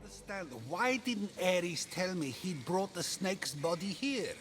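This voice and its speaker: Game role: immature ruler of the gods, high voice, swooping theatrical quips, music underneath. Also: male voice, expressive, a little slow, a bit bright. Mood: joking. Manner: flippant, theatrical, boastful. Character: flippant, vain, immature king of gods and father. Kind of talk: comic video game narration banter.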